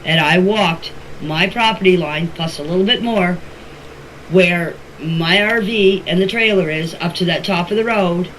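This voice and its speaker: A woman's voice: fairly low pitch, a little slow, a bit bright. Casting: mature woman, plain-spoken and colloquial, recounting events in order, homemade voice-message sound with some noise. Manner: plain, step-by-step telling in colloquial grammar. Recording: noisy voice-message clips.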